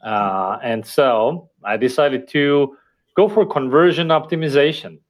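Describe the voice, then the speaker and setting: Podcast guest, male, Estonian native, reflective and halting, mid-range voice. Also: mid-range voice. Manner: thoughtful, hesitant with fillers and restarts. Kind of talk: casual interview talk.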